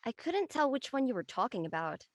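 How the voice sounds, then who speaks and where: Higher-pitched, woman playing teen friend, curious, casual, questioning. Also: sing-song, a little fast.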